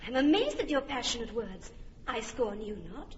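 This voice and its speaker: A puzzled young woman protests in hurt confusion. Her voice is fairly high. It sits in a long reverb on a dull recording with faint noise. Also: sing-song, moderate pace. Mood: bewildered. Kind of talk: classic radio drama acting.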